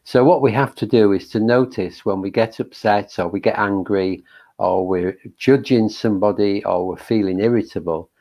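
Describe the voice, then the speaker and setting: A man with a very deep voice leading a meditation, giving a gentle, halting Buddhist talk full of pauses and fillers. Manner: gentle, halting, thinking aloud with many fillers. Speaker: meditation leader. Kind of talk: reflective Buddhist dharma talk.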